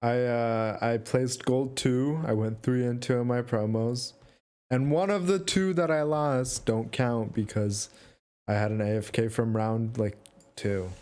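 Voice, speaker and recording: A man's voice: mid-range voice, steady tone, a little slow, darkish tone. A young man co-hosting a casual gaming podcast, making short, dry, teasing remarks. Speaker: podcast co-host.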